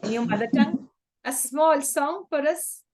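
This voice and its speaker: A woman's voice: high voice, normal speed, darkish tone. A woman interviewer asking courteous, formal questions.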